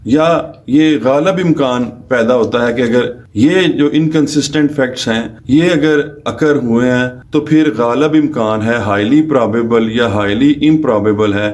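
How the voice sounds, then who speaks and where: A man's voice: medium pitch, measured pace, few pauses, a little mellow. A man from Pakistan with a Pakistani accent, lecturing as a lawyer in a methodical, step-by-step way and mixing in English legal terms. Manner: methodical, explains step by step with restatements. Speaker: lawyer giving a law lecture.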